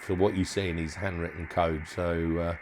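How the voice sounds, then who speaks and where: Man, lowish voice, informal hobbyist walkthrough, hesitant and trailing off, noticeable noise behind the voice. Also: flat delivery, normal speed. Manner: hesitant, trailing off, thinking aloud. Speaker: hobbyist presenter demonstrating modified radio gear. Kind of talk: informal hands-on demonstration.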